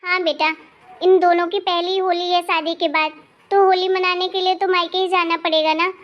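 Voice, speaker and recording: Woman voicing a high-pitched, placating older mother. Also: flat delivery, normal speed, fairly bright tone, dull audio. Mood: patient explaining. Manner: soothing, explaining. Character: placating older mother and mother-in-law, kindly, patient. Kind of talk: Hindi animated family story dialogue.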